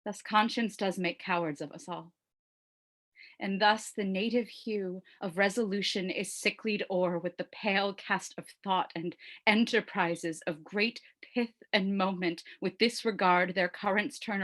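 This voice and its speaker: Casting: brooding young Shakespearean prince played by a woman, slow and deliberate, stage soliloquy with weighty pauses. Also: mid-range voice, steady tone, fairly bright tone, close to an everyday voice. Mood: grave, resigned. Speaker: actor performing a monologue.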